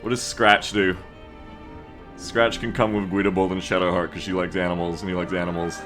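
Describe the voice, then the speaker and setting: Guy streamer just chatting, jokey and sweary, sharp bright voice, bit of music behind him. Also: sits low, level tone, a little fast. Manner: joking, swears freely. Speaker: game streamer. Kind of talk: casual stream chat about a game.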